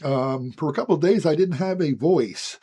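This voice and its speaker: A man with a hoarse, raspy voice as he gets his voice back, hosting a casual, chatty walkthrough of building a scale model. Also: a little high, normal speed. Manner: relaxed, chatty, frequent "guys" and "um". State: hoarse, recovering from lost voice.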